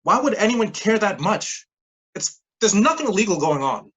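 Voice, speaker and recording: An actress as a defensive, indignant woman underling in a stage play. Her delivery is blunt and swings widely in pitch, with a husky edge. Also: sing-song, normal speed. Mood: dismissive.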